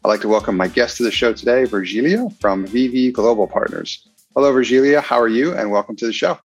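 A man's voice: medium pitch, fast, slightly rough, faint music behind. A man hosting a podcast interview, friendly and upbeat as he welcomes and questions his guest. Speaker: podcast host. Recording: dull, dry lo-fi sound.

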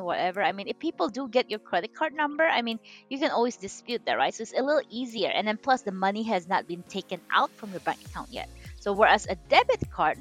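A woman talks money as a financial coach in a relaxed interview. She sounds animated and chatty, restarts her phrases and often says "you know". Her pitch sits in the middle, with faint music behind. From Philippines. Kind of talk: casual interview chat.

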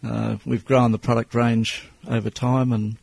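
An Australian man in his sixties with a heavily gravelly, husky voice. He speaks in a flat, low tone, giving plain-spoken, measured answers as a business owner in an interview. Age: 60s.

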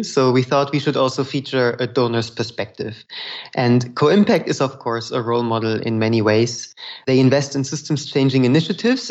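A man co-hosting a podcast, explaining things in an orderly commentary. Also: medium pitch. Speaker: podcast co-host. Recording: dull lo-fi audio.

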